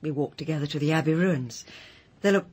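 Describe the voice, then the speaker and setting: An actress playing a thoughtful, wistful woman, speaking in a soft, musing way in a radio drama. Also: low-pitched, brisk, slightly rough.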